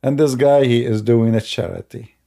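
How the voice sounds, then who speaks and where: Man, English, mid pitch, dark relaxed tone, casual commentary. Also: moderate pace, creaky. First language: Arabic. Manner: loose, talky storytelling with non-native grammar and frequent fillers. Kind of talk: casual commentary while showing a video on screen.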